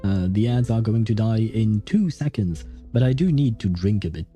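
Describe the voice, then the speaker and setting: Dark, mellow-voiced man rambling on stream. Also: fairly low pitch, lively intonation, moderate pace, faint music behind. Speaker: game streamer. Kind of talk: casual livestream commentary.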